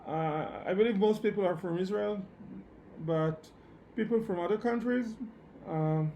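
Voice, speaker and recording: A man with a fairly high voice teaches programming live online, speaking English as a non-native language. His delivery is slow and halting, with words often repeated. Faint noise sits behind his voice. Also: mellow. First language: not English. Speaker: programming instructor. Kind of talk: live online technical lecture. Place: online meetup session at a computer.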